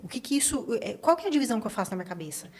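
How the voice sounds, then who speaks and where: A female host with a Brazilian Portuguese accent and a fairly high voice leads a casual interview. She speaks conversationally, working through her points with questions of her own. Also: sing-song, very fast, darkish tone, breathy edge. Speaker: podcast host.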